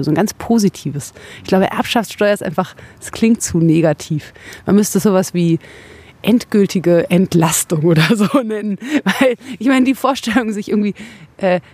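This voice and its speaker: A woman from West Berlin in a casual interview, with a medium-pitched voice. She talks reflectively as a guest, feeling her way toward her words while holding clear opinions. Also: a little mellow. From West Berlin, Germany. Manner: thoughtful, searching for words, opinionated. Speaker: interview guest, singer-songwriter.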